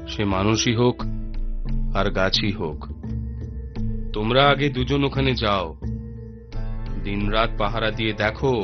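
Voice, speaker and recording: A man voicing a fair-minded, thoughtful cartoon king, measured, deliberate and authoritative. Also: on the low side. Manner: deliberate, pondering. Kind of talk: cartoon voice acting. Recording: dull sound with clear music behind.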